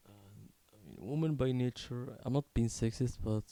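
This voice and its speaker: A man in his twenties from Morocco tells a personal story unscripted, in a halting, candid, casually sweary way. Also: medium pitch, creaky, mellow, no echo. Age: 20s. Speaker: video creator telling his life story.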